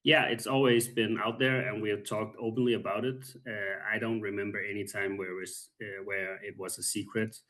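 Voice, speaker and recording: A Danish-accented man in his thirties, a podcast guest who speaks calmly and haltingly, with many pauses. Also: lowish voice. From Denmark. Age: 30s. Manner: calm, soft-spoken, halting with many pauses. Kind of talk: casual interview.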